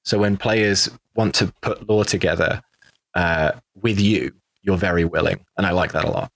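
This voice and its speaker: A man's voice: deep voice, steady tone, normal speed, no echo. A man with a British accent chatting casually as a cast member on a podcast. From Britain. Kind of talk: casual podcast round-table chat.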